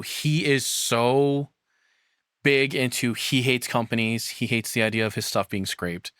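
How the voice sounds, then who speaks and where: A man's voice: mid-range voice, fairly bright tone, no echo, studio quality. A man giving casual, rambling reaction commentary as a streamer, speaking informally and swearing freely.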